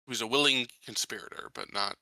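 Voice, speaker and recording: A man's voice: medium pitch, fast, sharp tone, dry recording, rough recording. A man chatting casually as a tabletop game player, explaining his point.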